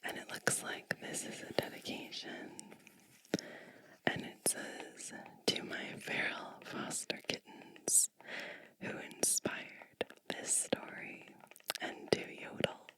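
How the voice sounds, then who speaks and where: Woman doing whispered asmr storybook reading, super slow n breathy, gentle, right up on the mic. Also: low-quality recording. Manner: slow, gentle, hushed delivery with chatty asides. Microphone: close microphone.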